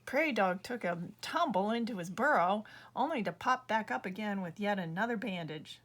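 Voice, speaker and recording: A woman reading her own children's book aloud as an expressive storyteller. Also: mid-range voice, normal speed.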